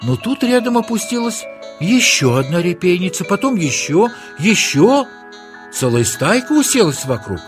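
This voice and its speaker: Male narrator for children's tales: high voice, hugely varied intonation, theatrical and playful, music underneath. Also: sing-song, normal speed. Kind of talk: expressive children's story narration.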